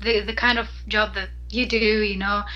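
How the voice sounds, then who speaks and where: A woman from Greece speaks as a guest singer in an interview. Her voice is bright and clear at a mid pitch, and she talks thoughtfully, pausing and restarting her sentences as she searches for words. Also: little pitch movement, muffled recording. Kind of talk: casual interview chat.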